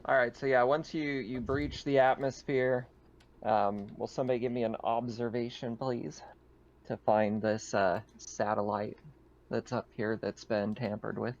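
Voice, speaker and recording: A man narrating a tabletop roleplaying game as game master in a casual, halting way, piecing the scene together as he goes. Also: medium pitch, level tone, dull audio.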